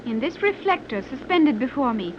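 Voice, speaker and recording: An actress playing a coolly confident young submarine pilot from an advanced hidden island, with formal, precise phrasing in 1930s radio serial style. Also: female voice, sits high, very animated, moderate pace. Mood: calm, assured. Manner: formal, precise, stilted phrasing without contractions. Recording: dull, noisy old 1930s radio recording.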